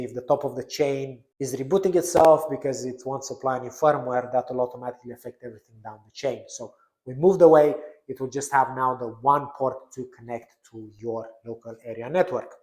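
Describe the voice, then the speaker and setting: A man with a medium-pitched voice delivers a semi-scripted product presentation. He explains things as a presenter would. The recording has a clear roomy echo around his voice. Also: a little mellow.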